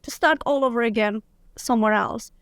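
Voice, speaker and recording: Gravelly-voiced woman lawyer guest, dry sound. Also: fairly high pitch, a little fast, a bit bright, no room sound. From Morocco, grew up in Spain. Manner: upbeat, candid, laughs easily. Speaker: guest, international business lawyer. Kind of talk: casual interview conversation.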